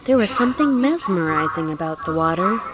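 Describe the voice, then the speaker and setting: A woman reading a children's story aloud in an expressive, deliberate way, with dramatic pauses and emphasis. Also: fairly low pitch, very noisy. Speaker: storybook reader. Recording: loud music bed under the voice. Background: music.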